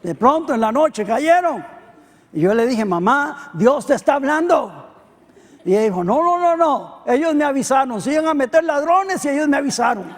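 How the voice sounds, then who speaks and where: A middle-aged Costa Rican pastor preaching a sermon, swinging between loud emphatic peaks and quiet low asides. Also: male voice, animated, normal speed. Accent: Costa Rican Spanish. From Costa Rica. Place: church hall. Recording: long hall reverb.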